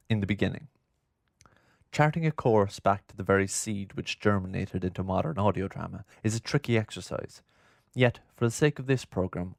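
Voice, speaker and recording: Deep, gravelly man narrating. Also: no room sound, studio quality. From Ireland. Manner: formal, measured, literary delivery. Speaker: documentary narrator and host. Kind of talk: scripted narration. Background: music.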